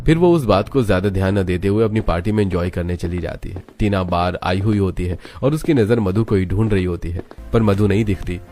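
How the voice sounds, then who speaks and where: Low, mellow male storyteller. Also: fairly quick, hardly any pauses, faint music behind. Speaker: story narrator. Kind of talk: scripted story narration.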